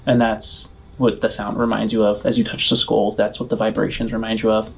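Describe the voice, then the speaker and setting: A man acting as game master, improvising scene descriptions in a halting way and rephrasing as he goes. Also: on the low side, little pitch movement, vocal fry, slightly rough. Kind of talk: improvised tabletop game narration.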